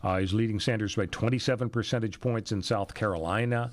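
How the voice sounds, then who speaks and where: A man's voice: on the low side, brisk, no room sound. An American man hosting a radio talk show with conversational political commentary. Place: radio studio.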